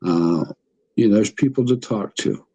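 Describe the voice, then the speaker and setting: Folksy, mellow-voiced podcast host. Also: male voice, fairly low pitch, animated, normal speed, breathy edge. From United States. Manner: enthusiastic, folksy, laughs often. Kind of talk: casual interview chat.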